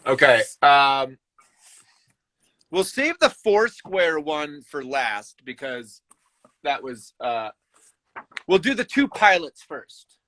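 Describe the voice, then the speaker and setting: Higher-voiced man hosting a casual livestream raffle. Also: big pitch swings, bright, forceful tone, no room sound. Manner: halting, thinking aloud while doing tasks. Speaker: live raffle host.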